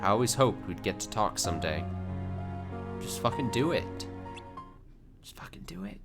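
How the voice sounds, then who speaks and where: A man's voice: lowish voice, expressive, normal speed. A man doing casual, sweary live commentary on game cutscenes, reading dialogue aloud and reacting with incredulity. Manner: sweary, incredulous, often laughing. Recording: game music playing behind. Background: video game cutscene music.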